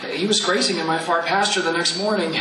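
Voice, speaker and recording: A man's voice: high voice, steady tone, fast talker, recorded from a distance, background noise, lightly acted. A man playing a chatty village farmer who stammers in puzzlement, in a live radio drama. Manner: gossipy, hesitant. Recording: echoey hall, mic from afar, music.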